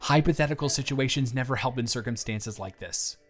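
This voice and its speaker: A man's voice: medium pitch, fairly quick. A man acting in an intimate audio roleplay as an earnest, conflicted boss, talking in a confiding, hesitant, conversational way. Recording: music behind the voice.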